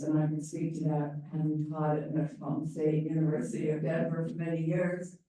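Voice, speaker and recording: Older woman volunteer presenter, loud mellow voice, chatty asides, heard far off in a big echoey room. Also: low voice. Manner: chatty, self-deprecating, wandering asides. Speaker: volunteer presenter on ballot issues. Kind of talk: informal public briefing. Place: large meeting room.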